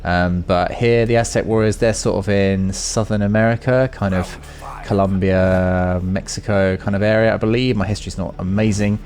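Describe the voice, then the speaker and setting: Low-voiced man commenting on games. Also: fairly even intonation, normal speed, hardly any pauses, a little mellow, slight background noise. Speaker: gaming video host. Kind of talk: casual off-the-cuff gameplay commentary.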